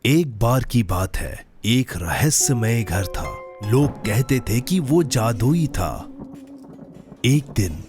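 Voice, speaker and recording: Patient adult male cartoon storyteller: unhurried, animated melody, gentle, over music. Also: mid-range voice. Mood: suspenseful storytelling. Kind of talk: children's bedtime storytelling, cartoon dub. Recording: clear music behind the voice.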